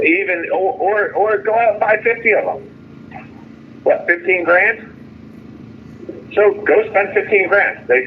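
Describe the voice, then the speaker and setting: A man calling in over a noisy phone line, complaining in a frustrated, emphatic way and repeating his phrases. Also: medium pitch. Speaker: jailed caller. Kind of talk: phone call venting about jail and legal case. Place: phone call from jail. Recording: tinny phone line with noise.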